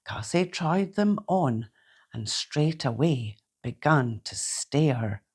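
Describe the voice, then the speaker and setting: A woman reading a children's bedtime story aloud in a gentle, theatrical, deliberate way. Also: extremely low pitch, expressive, husky. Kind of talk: expressive read-aloud bedtime storytelling in rhyme.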